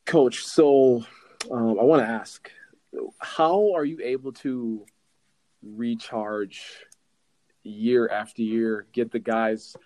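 A man's voice: medium pitch, fairly even intonation, slow pace, dark tone, low-quality recording. A man co-interviewing, putting earnest questions in a casual conversational style. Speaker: co-interviewer, former athlete of the coach.

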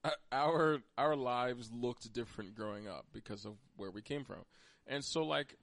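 A man's voice: mid-range voice, moderate pace, a bit bright, no echo. An American man hosting a podcast, chatting casually and conversationally with a teasing streak toward his co-host. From Pennsylvania, United States.

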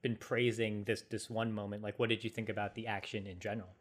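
A man's voice: lowish voice, level tone, fast talker. A man chatting casually about films in a loose, informal way. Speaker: film discussion podcast speaker.

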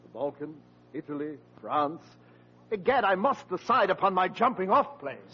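An actor playing a pompous, shifty older uncle with florid, theatrical delivery in 1940s radio comedy style. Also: male voice, high voice, big pitch swings, normal speed, full character voice. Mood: lofty. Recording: muffled husky vintage 1940s radio recording.

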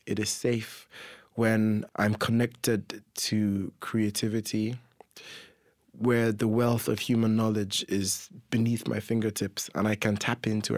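A man's voice: lowish voice, creaky, no room sound, clean studio sound. Reflective man with a blended accent. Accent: mixed Nigerian, Irish, English and American. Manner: thoughtful, measured, searching for words. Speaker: poet and playwright guest. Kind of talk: reflective conversation.

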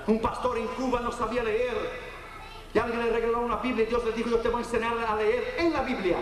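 Fervent preacher, echoing hall. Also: male voice, little pitch movement, fast, a crowd in the background. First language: Portuguese. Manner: fervent preaching, swinging between loud high peaks and low quiet storytelling. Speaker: evangelist preacher. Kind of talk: sermon. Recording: echoey hall heard from far off.

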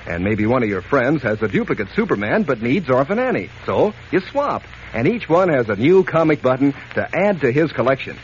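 An American man delivering a folksy, enthusiastic 1940s radio advertising pitch aimed at children. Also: very animated, fairly quick. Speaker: announcer, pitchman. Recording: muffled, noisy old radio recording.

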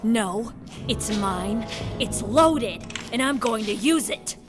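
An actress playing a menacing, volatile hostage-taker in a sci-fi audio drama, cocky, taunting and quick to snap. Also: female voice, fairly high pitch, expressive. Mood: smug, gloating. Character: volatile, menacing female gunwoman holding a hostage. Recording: music under the voice.

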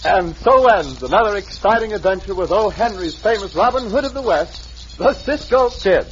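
A man's voice: high voice, lively intonation, moderate pace. A man announcing the close of an old-time radio drama in a formal announcer delivery. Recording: dull old radio recording with organ.

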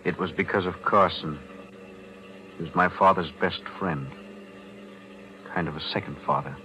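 An actor playing a calm, principled lawyer who speaks in a measured, steady way in a 1940s radio drama. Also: male voice, low voice, slight background noise. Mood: composed. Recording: dull lo-fi old radio broadcast.

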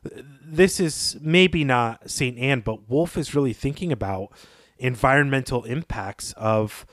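A man co-hosting a podcast, giving reflective, measured literary analysis in a conversational style. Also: mid-range voice, no room sound, studio quality. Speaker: podcast co-host.

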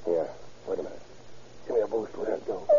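An actor playing a brusque, slangy crook on the run in a 1930s radio crime drama. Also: male voice, lowish voice, brisk, dark tone, lightly acted. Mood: bossy, furtive. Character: cocky, evasive male crook on the run. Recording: tinny, hissy old radio recording.

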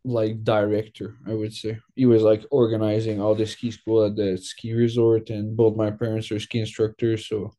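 Young Quebec-raised man, mellow low tone, relaxed filler-heavy interview talk. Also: normal speed, few pauses. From Quebec, Canada. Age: young adult. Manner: loose, filler-heavy, lots of "like". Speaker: guest. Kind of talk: casual interview.

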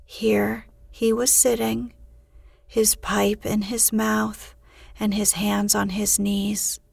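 A woman hosting and narrating a bedtime podcast in a soothing, unhurried, hushed way. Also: mid-range voice, flat delivery, gravelly, husky, no room sound. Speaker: podcast host and bedtime story reader. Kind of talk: slow sleep-podcast narration.

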